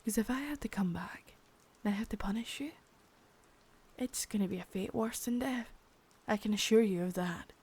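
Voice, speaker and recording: A woman's voice: medium pitch, normal speed, a little mellow, lightly acted. A young woman playing a threatening, profane second-in-command crime boss in a whispered ASMR roleplay, close to the mic. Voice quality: husky whisper.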